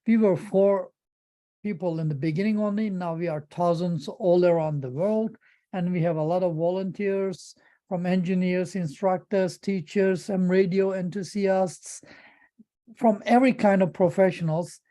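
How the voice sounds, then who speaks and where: Mellow middle-aged man with a Turkish accent. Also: high-pitched, fairly even intonation. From Turkey. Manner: halting, repeats words while searching for phrasing. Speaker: presenter. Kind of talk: informal conference presentation.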